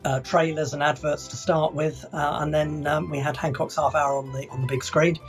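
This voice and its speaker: A man's voice: a little high, level tone, normal speed, faint music behind. A man from England co-hosting a podcast in casual chat, often restarting his sentences with fillers. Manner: hesitant, many restarts and fillers. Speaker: podcast co-host.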